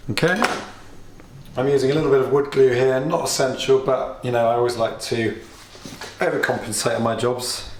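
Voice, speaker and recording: A British man hosting a DIY tutorial, a little hoarse as he is losing his voice, chatty and plain as he explains each step with small asides. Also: medium pitch, roomy sound, a little hiss or hum. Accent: British English. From United Kingdom. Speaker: DIY video host. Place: home workshop or kitchen.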